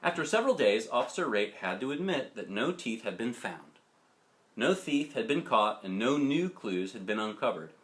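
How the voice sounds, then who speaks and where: A man's voice: medium pitch, very animated, moderate pace. A man reading a children's story aloud as a dad would, expressively, with chatty asides to young listeners. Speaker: father reading a picture book aloud. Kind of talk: storybook narration with casual asides.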